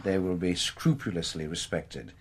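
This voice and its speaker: Radio drama policeman: low, dry and dutiful, formal wording with lively inflection. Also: slightly rough, full character voice. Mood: formal, unruffled. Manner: formal, measured officialese.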